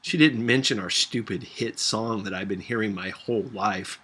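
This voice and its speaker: Husky-voiced man reading a story. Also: fairly low pitch, moderate pace, a bit bright. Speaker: audiobook reader. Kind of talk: first-person story narration, reading aloud.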